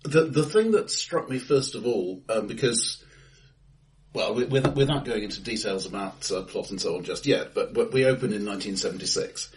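A middle-aged or older man with an English accent, co-hosting a casual film discussion in a halting, wry way in a live-sounding room. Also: lowish voice, distant mic, a little hiss or hum, low-quality recording. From England. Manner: halting, wry, repeats word starts. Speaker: podcast co-host. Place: indoor room, recorded face to face.

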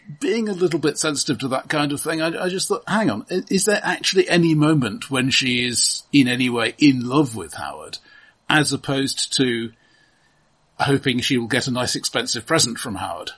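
A man on a film podcast, chatting casually but analytically. His voice is husky and mid-pitched. He often stops and repeats a word before finishing his point. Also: fairly bright tone. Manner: analytical, halting with repeated words.

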